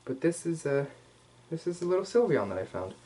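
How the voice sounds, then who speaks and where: A man voicing a male hyena furry commander in a casual, rambling, playfully cocky ASMR roleplay, speaking straight to the listener with slangy asides and hesitant pauses. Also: fairly high pitch, sing-song, warm tone. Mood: cooing, gentle. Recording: clear background noise.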